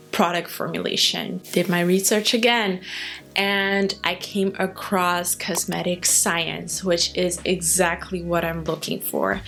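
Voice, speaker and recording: A woman's voice: lowish voice, a bit bright. A woman in her late twenties whose first language is Dutch, giving a candid, chatty personal update as a hair care vlogger. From Netherlands. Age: late 20s. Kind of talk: casual personal update to camera.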